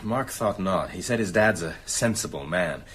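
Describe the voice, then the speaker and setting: Radio drama role: grave, tired, deep rough-edged voice, subdued telling. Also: male voice, animated, moderate pace, a bit bright, exaggerated voice. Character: grave, weary man reporting grim deeds.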